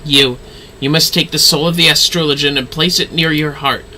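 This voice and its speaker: A man's voice: a little high, fairly even intonation, normal speed, pushed and bright, slight background noise, voice pushed up, poor audio. A man voicing a solemn, kindly mystic mentor, reading game dialogue aloud in an earnest, theatrical way. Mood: solemn, reassuring.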